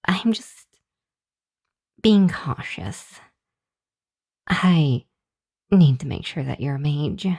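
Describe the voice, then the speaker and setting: A woman's voice: low voice, animated, very slow, pauses often, a little mellow, breathy edge, full character voice. A woman playing a nervous, apologetic young hellhound girl in an ASMR roleplay, speaking to the listener with a hesitant, stammering delivery. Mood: cautious, hesitant.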